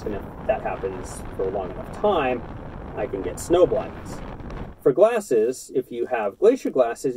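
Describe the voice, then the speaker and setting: A man's voice: medium pitch, noisy background. A man in his thirties talking to camera indoors, giving a scripted, matter-of-fact explainer on outdoor gear. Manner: earnest, matter-of-fact, steady delivery. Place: indoors in front of a brick-pattern backdrop.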